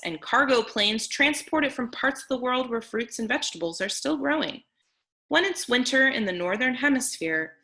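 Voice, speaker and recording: A woman reading a picture book aloud as a teacher on a video call, pausing to explain words simply for children. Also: on the high side, gravelly, pushed and bright. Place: online video meeting with screen sharing.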